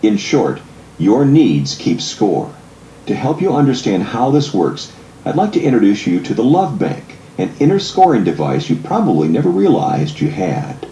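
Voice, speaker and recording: A man reading scripted audiobook narration in a measured, explanatory way. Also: fairly low pitch, huge pitch swings, mellow. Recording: echoey room, faint background noise.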